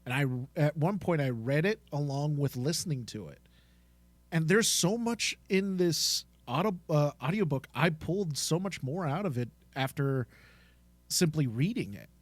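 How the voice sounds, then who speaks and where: Guy cohosting a podcast, bit higher pitched, into it, casual talk, no room echo. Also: animated, moderate pace. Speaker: podcast co-host.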